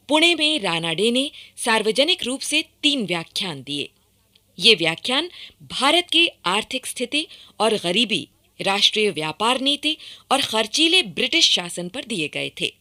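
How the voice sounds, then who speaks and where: A woman delivering formal, emphatic scripted narration for a historical radio documentary, in measured phrases. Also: a little high, very animated, bright, forceful tone.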